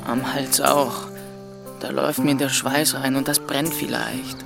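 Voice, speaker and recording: A man's voice: medium pitch, little pitch movement, unhurried, creaky, over music. A man playing a timid, chubby thirteen-year-old boy in a radio drama, hesitant and meek. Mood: glum. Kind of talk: German radio drama acting.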